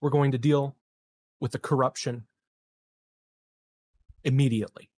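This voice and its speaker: Guy playing a serious sci-fi politician giving a measured speech, mid voice, dry sound. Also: mid-range voice, steady tone, a little mellow, dry recording, close to an everyday voice. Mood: solemn, resolute. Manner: slow, deliberate, declarative speechmaking. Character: earnest, resolute male colony politician addressing the public.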